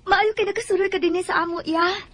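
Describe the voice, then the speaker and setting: An actress with a Filipino Cebuano accent playing a friendly, meddling neighbour in a radio drama. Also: female voice, very high-pitched, steady tone, fast talker, a bit bright, soft background music. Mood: nosy, confiding.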